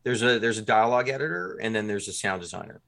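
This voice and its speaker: A man's voice: fairly low pitch, quick pace, a bit bright. A man talking as a guest in a podcast interview, explaining his production work in detail and acting out short examples.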